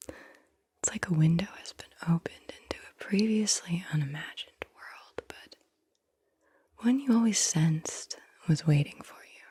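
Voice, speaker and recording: A woman whispering softly and breathily as she hosts a soothing, deliberate ASMR guided visualization. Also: low-pitched. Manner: soothing, slow and deliberate, repeats words softly. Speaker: ASMR host guiding visualization exercises.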